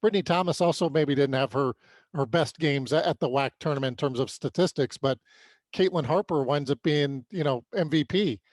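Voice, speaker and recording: A man's voice: on the high side, fairly quick, darkish tone, no echo. A man co-hosting a sports podcast with conversational commentary, stammering a little over his words.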